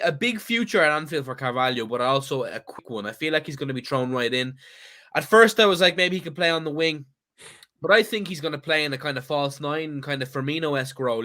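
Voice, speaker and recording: An Irish man with a bright, forceful voice pitched a little high jokes and teases his way through a football chat. He rambles, restarts his sentences, and keeps the tone loose and cheeky. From Ireland. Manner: teasing, jokey, rambling with restarts. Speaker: podcast co-host. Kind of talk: casual banter and football chat.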